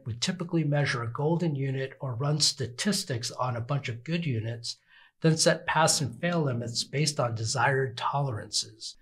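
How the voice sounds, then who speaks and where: An older man with a slightly husky voice gives scripted instructional narration in an orderly, explanatory manner. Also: medium pitch, a bit bright. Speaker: product demonstrator.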